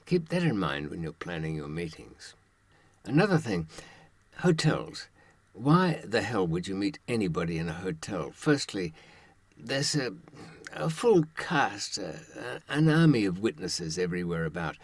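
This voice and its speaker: An older man with a low voice talks directly to his audience as an adviser. His delivery is conversational and wry, dotted with sardonic rhetorical asides. The sound is close and dry, with very little room on it. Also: big pitch swings, unhurried, breathy edge, dry recording. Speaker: true-crime advice presenter and author.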